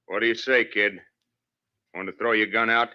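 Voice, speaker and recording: A man's voice: on the low side, steady tone, normal speed, no room sound, natural voice. An actor playing a stern, weary Old West lawman in terse, plain-spoken old-time radio western style. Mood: urgent, commanding. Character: stern, resolute Old West lawman named George, weary and conscience-stricken. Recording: muffled, dull old radio recording.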